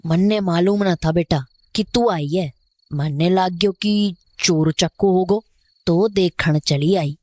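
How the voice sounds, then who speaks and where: A woman's voice: lowish voice, takes it slowly, a little mellow, no room sound. A woman playing a wary older village caretaker, folksy and cautioning, in a horror audio drama. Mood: flustered, evasive.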